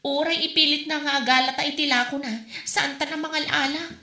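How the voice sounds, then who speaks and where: A woman's voice: high voice, steady tone, fairly quick, pushed and bright, reverberant. A woman with an Ilocano accent, playing a borrower who pleads and complains about a friend's demands. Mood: aggrieved. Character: harried borrower woman, defensive and aggrieved.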